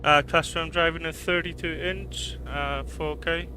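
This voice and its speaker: A man's voice: on the high side, fairly even intonation, a little slow, sharp tone, slight background noise. A man born in Poland and raised in Canada, casually chatting with live-stream viewers, greeting them by name and thinking aloud with fillers. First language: Polish. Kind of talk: live-stream chat reading viewer comments.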